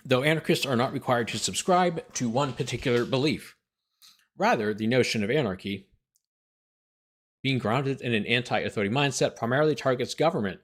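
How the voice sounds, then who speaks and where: Male lawyer-host, medium pitch, document read-aloud with dry, incredulous running commentary. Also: animated, brisk. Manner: incredulous, sarcastic asides.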